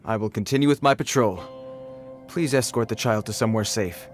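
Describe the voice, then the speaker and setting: An actor playing an earnest, well-meaning but awkward guard on patrol, formal and hesitant, as a video game character. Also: male voice, fairly low pitch, a little fast, faint music behind, natural voice. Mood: flustered, resigned.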